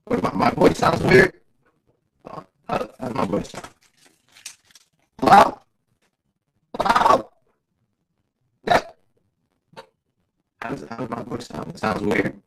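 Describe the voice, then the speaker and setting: A man's voice: mid-range voice, huge pitch swings, slow pace, creaky, long pauses, a bit bright, low-quality recording. A man hosting a casual livestream, who laughs often, repeats himself and answers his chat. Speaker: livestream host.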